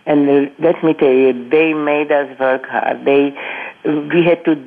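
An older woman giving her personal testimony in an interview, speaking halting, non-native English with frequent restarts and repeated words. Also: low-pitched, steady tone. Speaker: Holocaust survivor guest. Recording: tinny phone line.

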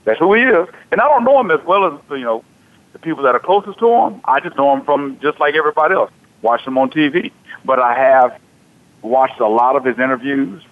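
A man's voice: medium pitch, animated, a little fast. A man in his forties hosting a sports talk radio show, talking casually and animatedly, often repeating himself. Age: 40s. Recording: tinny phone-like radio audio.

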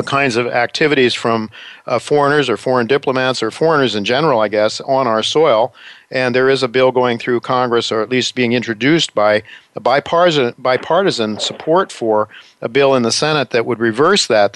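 Man, radio host, rambling, halting, many fillers, dry close sound. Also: mid-range voice, a bit bright, no echo. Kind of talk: talk radio interview.

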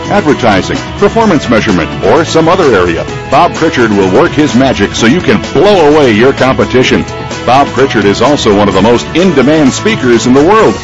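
A man reading a scripted radio advert in a punchy sales-pitch manner. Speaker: announcer. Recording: read over loud music.

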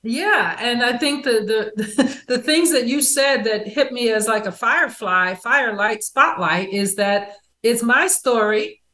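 A woman with a fairly high voice, heard with a noticeable room echo. She speaks emphatically as a radio show guest, affirming often and sometimes rushing ahead in quick bursts. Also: expressive, bright, forceful tone. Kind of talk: casual conversation. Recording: echoey room sound.

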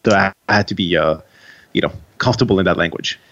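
A man's voice: sits low, fast talker, fairly bright tone, highs cut off, slight background noise. A man with a Quebec French accent, a native French speaker, answering interview questions in English in an earnest way with frequent restarts. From Quebec, Canada. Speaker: guest author.

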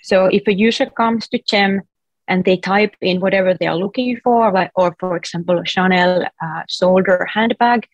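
A woman's voice: medium pitch, fairly even intonation, normal speed, no echo, muffled recording. A woman from Finland with a Finnish accent, a guest on an interview show, explaining her app in a friendly, chatty way. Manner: explanatory, friendly, uses "like" as filler. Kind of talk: casual interview chat.

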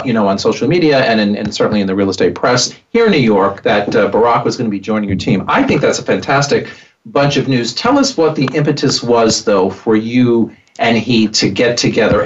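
A man's voice: mid-range voice, distant mic, highs cut off, slight background noise. A man hosting a talk show about real estate. He is chatty and reads his guest's bio aloud. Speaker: host.

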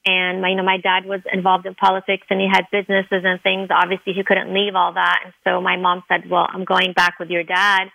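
Gravelly bright-voiced woman from Lebanon. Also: on the low side, monotone, fairly quick, hardly any pauses, no echo, rough recording. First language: Arabic. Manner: earnest storytelling, many fillers. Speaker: guest, dentist. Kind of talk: interview conversation.

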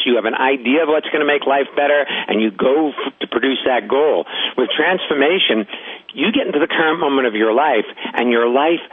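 A man co-hosting a call-in radio show, emphatically explaining his ideas to listeners. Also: medium pitch. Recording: tinny phone-line sound.

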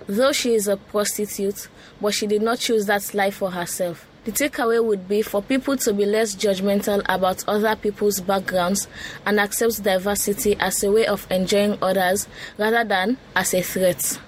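A woman from Leone, reading a prepared film response in a steady, formal way. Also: mid-range voice, normal speed, a little hiss or hum, poor audio. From Sierra Leone. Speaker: student guest.

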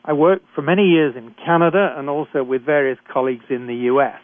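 An older man with a British accent hosting a radio talk show, reading guest introductions in a measured, formal way. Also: medium pitch, steady tone, no room sound. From Britain. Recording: thin phone-line sound.

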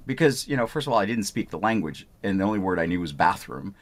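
A man's voice: deep voice, animated, quick pace. A man from Punjab in India, a doctor answering interview questions in an explanatory way. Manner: explanatory, repeats "I want" phrases. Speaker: physician.

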